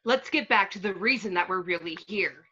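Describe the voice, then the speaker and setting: A woman's voice: mid-range voice, normal speed, bright, forceful tone, husky. A woman playing a pompous, fussy fashion mogul villain in an amateur comedy script read over video call. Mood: grandiose, fussy. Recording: slightly dull video-call audio.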